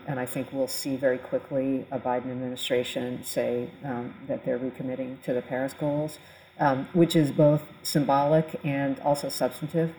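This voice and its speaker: A woman's voice: very deep voice, warm tone, a little hiss or hum, poor audio. A woman explaining policy conversationally as an expert panelist on a podcast.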